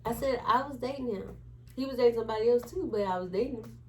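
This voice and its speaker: A woman's voice: fairly high pitch, fast talker, slight background noise. A woman telling a story in a casual chat, acting out the quoted dialogue as she goes. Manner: animated retelling with acted-out quotes.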